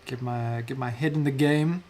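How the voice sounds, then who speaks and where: A man's voice: medium pitch, steady tone, moderate pace, a little mellow, slight background noise. A South African man chatting casually while livestreaming a digital painting, muttering self-deprecating remarks to himself as he works.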